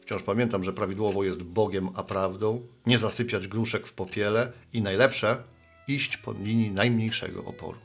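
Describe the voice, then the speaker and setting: Gravelly middle-aged bar regular, acted by a man. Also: on the low side, fairly even intonation, normal speed, soft background music. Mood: reflective. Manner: folksy, rambling, reminiscing. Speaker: bar customer and postman. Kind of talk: radio play dialogue.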